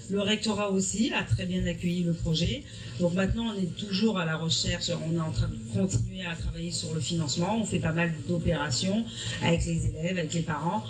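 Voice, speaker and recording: Woman, middle-aged, from Africa, teacher, earnest explaining, distant recording. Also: on the low side. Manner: earnest, explanatory, with frequent restarts. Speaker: history-geography teacher. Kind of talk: interview answers. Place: evening school event. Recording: distant mic with noisy room.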